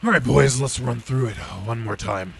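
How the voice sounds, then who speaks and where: A man's voice: mid-range voice, moderate pace, a bit bright. A man playing a weary, dutiful police detective in an old-time radio drama. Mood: businesslike, brooding. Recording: clearly noisy, hissy background.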